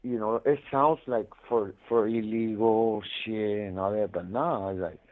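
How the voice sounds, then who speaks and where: Deep-voiced man on a tinny phone line. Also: fairly even intonation, a little slow. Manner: slangy, profane, rambling. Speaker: incarcerated caller telling his life story. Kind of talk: casual storytelling over a prison phone call. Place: prison, on a phone.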